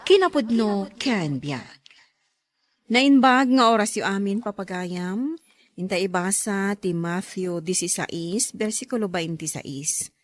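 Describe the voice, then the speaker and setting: A woman announcing and narrating a scripted radio program in a measured, formal manner. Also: mid-range voice, no room sound.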